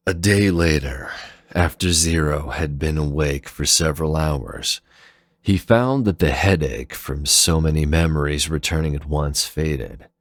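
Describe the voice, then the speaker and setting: A man's voice: very deep voice, vocal fry, no room sound, studio quality. A man narrating a dramatic story in a solemn, theatrical manner.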